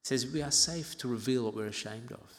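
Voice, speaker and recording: A man in his fifties from Uganda preaching an expository sermon as a pastor, in a measured, reflective way with pauses and gentle asides. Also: medium pitch. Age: 50s. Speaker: pastor preaching to own congregation. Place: large reverberant church interior. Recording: reverberant hall.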